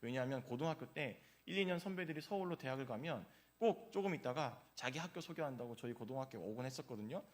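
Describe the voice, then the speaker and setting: A man's voice: mid-range voice, expressive, fast, hardly any pauses. Man preaching an anecdotal morning sermon. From South Korea, grew up in Gyeongsang region. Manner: conversational storytelling with frequent "uh" fillers. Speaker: pastor. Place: church.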